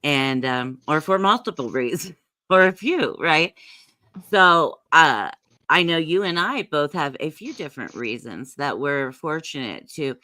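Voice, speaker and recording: A woman's voice: deep voice, lively intonation, a little slow, sharp tone, no room sound. A woman in her fifties chatting casually, agreeable and reflective. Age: 50s. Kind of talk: casual chat.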